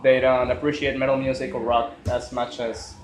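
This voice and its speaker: A man's voice: mid-range voice, flat delivery, normal speed. A man of twenty-one from northern Mexico with a Mexican Spanish accent, speaking politely and hesitantly. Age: 20s. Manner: hesitant, polite, frequent uh fillers. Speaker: youngest band member. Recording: echoey room with crowd noise.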